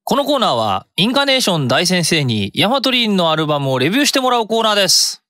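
A man's voice: high-pitched, big pitch swings, fast talker, sharp tone, no echo, clean studio sound. A man in his thirties hosting a casual radio chat as a podcaster. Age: 30s. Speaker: podcast host, music creator. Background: music.

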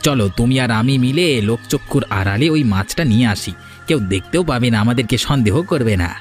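Man voicing a fast-talking conniving village husband. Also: medium pitch, expressive, soft background music, lightly acted. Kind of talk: Bengali cartoon voice acting.

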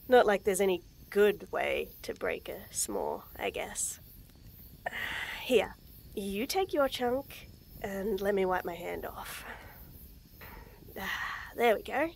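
A young woman in a scripted roleplay audio, talking to the listener with nervous stammers, frequent giggles and soft whispers. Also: medium pitch, expressive, slow pace, vocal fry, pauses often, a little hiss or hum. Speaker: voice actress in a girlfriend audio.

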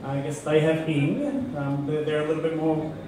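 Middle-aged woman comic, deadpan and halting, recorded far off in an echoey club. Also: low-pitched, background noise. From Australia. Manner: deadpan, halting with many ums. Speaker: stand-up comedian. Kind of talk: stand-up comedy set. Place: comedy club stage before a live audience. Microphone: handheld mic, filmed from far in the crowd. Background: audience laughter.

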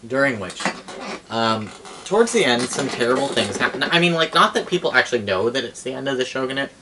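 A young man casually explaining things like a storyteller, halting and correcting himself as he goes, picked up by a camera across a room. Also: mid-range voice, lively intonation, sharp tone, slight background noise, rough recording. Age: young adult. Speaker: storyteller and game master. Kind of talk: casual rambling explanation of fantasy game lore. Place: small cluttered living room, indoors.

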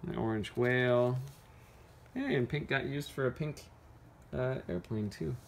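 A woman with a low voice reads a picture book to children at home. She chats casually between passages and asks simple questions. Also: unhurried, slight background noise. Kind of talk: casual storybook read-aloud with questions. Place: carpeted room at home.

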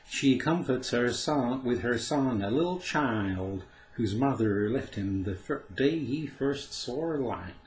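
A man reading aloud, voicing a haughty female great horned owl with a shrill, harsh voice. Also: expressive, a little slow, echoey room, lightly acted. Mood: gentle.

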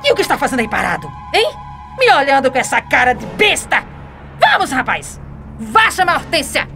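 A venomous, domineering schemer with a high Brazilian voice that swoops wildly. She is mocking and emphatic, in radio soap style, over a music bed. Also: big pitch swings, a little fast, bright, forceful tone, heavily acted. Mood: bossy, impatient.

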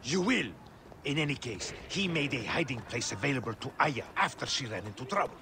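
A man's voice: mid-range voice, animated, a little fast, creaky, in a large hall, noisy background. A man playing a pompous, theatrical and secretive scholar poet in a video game. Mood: grave, confiding. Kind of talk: video game cutscene acting.

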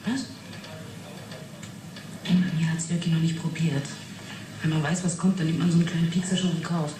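An actress playing a matter-of-fact yet fascinated witness in a German radio play, halting and reflective. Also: female voice, low-pitched, steady tone, lightly acted. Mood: recounting, thoughtful. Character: young woman witness recounting an uncanny experiment, matter-of-fact yet fascinated. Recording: roomy 1980s radio play, noisy background.

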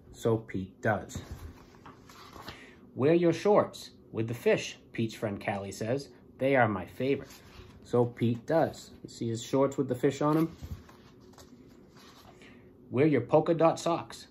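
A man in his forties, a police lieutenant, reading a storybook and speaking to schoolchildren in a plain, deliberate way. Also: medium pitch, a little mellow, a little hiss or hum. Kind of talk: children's storybook read-aloud and address to pupils. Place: small office, indoors.

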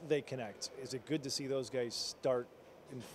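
A man's voice: mid-range voice, fairly even intonation, moderate pace, slight background noise. A man working as a team reporter, asking long, rambling postgame questions full of restarts.

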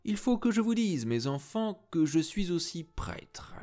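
A man's voice: sits high, very animated, a little slow, warm tone, pitched above the performer's own voice. A man voicing a good-natured, mysterious older man in an audiobook reading, soothing and a little solemn. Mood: reassuring. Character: jovial, mysterious older man, a jack of all trades.

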